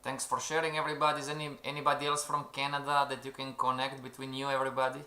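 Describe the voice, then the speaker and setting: A man's voice: mid-range voice, completely flat delivery, racing, pushed and bright. Man teaching a live trading class. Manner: keeps saying "everybody" and "okay?", reads out the chat. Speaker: trading instructor. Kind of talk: live-streamed lesson. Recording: roomy echo.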